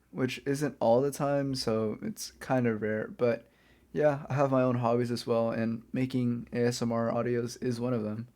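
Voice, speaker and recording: Soft-spoken, even-toned man as a reformed villain. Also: medium pitch, close to an everyday voice. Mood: casual, inviting. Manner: casual, unhurried, hesitant and coaxing. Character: reformed male supervillain kidnapper, lonely, apologetic, gently friendly. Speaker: ASMR audio performer. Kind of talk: soft-spoken ASMR roleplay, addressing the listener.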